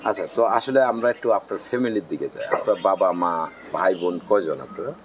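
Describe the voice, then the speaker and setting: A man's voice: deep voice, expressive, fast, crowd noise. A man with a Bangladeshi accent interviewing someone casually, probing and repeating answers back as questions. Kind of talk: casual on-camera street-style interview.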